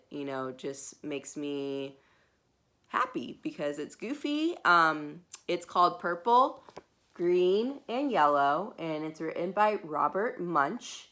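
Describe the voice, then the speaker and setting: A woman speaks as a preschool teacher at story time. Her voice is bright and a touch low, and she goes slowly, in an informal, halting way meant for young children.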